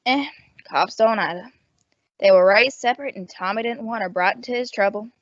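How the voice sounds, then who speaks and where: A woman playing a gruff, suspicious, money-minded rooming house landlady in an old-time radio detective drama, blunt, slangy and wisecracking. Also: mid-range voice, fairly quick, a bit bright, no room sound, dull audio, lightly acted. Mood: guardedly cooperative.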